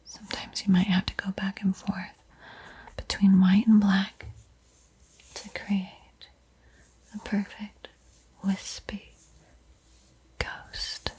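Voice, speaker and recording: A woman hosting an ASMR art tutorial in a soft, breathy whisper, gentle and unhurried, with frequent pauses. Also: medium pitch, level tone, rough recording. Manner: slow, gentle, pausing often.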